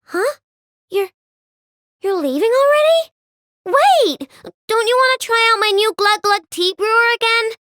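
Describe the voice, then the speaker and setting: A woman's voice: very high-pitched, animated, measured pace, pauses often, a bit bright, no room sound, clean studio sound, full character voice. An actress voicing a cheerful, playful young girl inventor who loves sweets, in bouncy, childlike game voice lines. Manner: playful, wheedling, with gasps and giggles. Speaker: game character voice.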